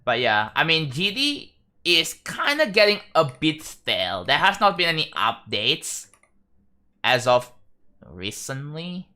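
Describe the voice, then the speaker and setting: A young man with an Indonesian accent chats loosely on a live stream, often stopping and restarting his sentences. His voice is bright and his pitch swings widely. He sounds relaxed and unscripted. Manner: rambling, halting, with many restarts. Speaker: livestreamer. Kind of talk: casual live-stream chat.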